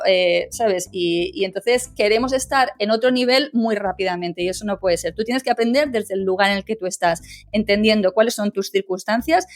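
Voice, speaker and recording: A woman's voice: medium pitch, no room sound. A woman, a legal English teacher, giving emphatic, conversational interview answers full of tag questions.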